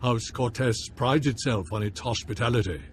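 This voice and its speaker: A man's voice: medium pitch, normal speed, slight background noise, natural voice. A man playing a gracious noble baron in a video game, speaking in formal, courtly phrases.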